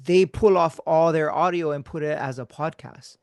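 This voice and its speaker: A man with a slightly high voice talks easily with an old friend on his podcast. He is laid-back and casual, swears now and then, and often lets his sentences fade into quiet mumbles. The sound is close and dry, with no room echo. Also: moderate pace, gravelly, clear, resonant tone. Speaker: podcast host.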